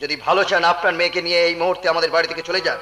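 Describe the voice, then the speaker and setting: A man's voice: fairly high pitch, little pitch movement, racing, pushed and bright, soft background music. A man playing a haughty, hostile young man of the house in a folk-theatre drama, commanding and theatrical. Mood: indignant. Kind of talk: Bengali jatra folk-theatre acting.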